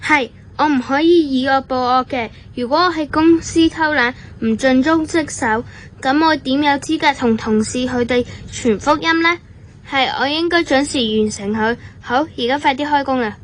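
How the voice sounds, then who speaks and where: A woman's voice: high voice, moderate pace, a little hiss or hum, close to an everyday voice. A woman with a Cantonese accent voicing a resentful young office worker. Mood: resolved. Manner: grumbling, indignant.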